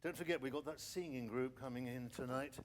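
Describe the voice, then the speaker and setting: A man's voice: mid-range voice, a little fast, a little hiss or hum, natural voice. A man playing an anxious, sceptical 1920s research engineer in a radio play, hesitant and stammering as he talks. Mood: worried, doubtful. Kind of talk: period radio drama acting.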